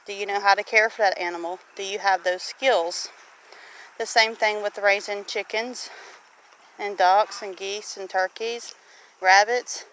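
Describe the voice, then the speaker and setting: A woman's voice: medium pitch, fairly even intonation, measured pace, a bit bright, slight background noise, low-quality recording. A middle-aged woman with a Southern US accent chatting casually as a homesteader, folksy and given to putting questions to the listener. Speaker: homesteader vlogger. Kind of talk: casual chat. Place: outdoors on a homestead near farm animals.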